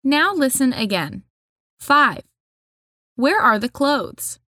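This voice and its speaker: A woman announcing the numbered questions of a listening test, reading slowly and deliberately. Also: high-pitched, sing-song, bright, forceful tone, no room sound, clean studio sound. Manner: slow, deliberate reading of instructions. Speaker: test narrator.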